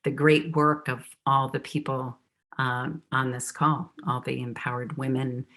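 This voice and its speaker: A woman with a low voice hosts a storytelling gathering over a video call. She talks slowly, upbeat and loose, leaning on lots of fillers between thoughts. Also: level tone, a bit bright. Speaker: host. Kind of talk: hosting a live storytelling event on a video call.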